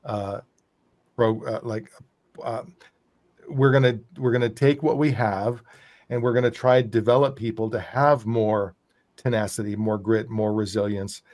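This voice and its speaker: A man's voice: lowish voice, steady tone, measured pace, darkish tone. Long-winded male podcast host. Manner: long-winded, thinking aloud with restarts. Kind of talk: interview host chat.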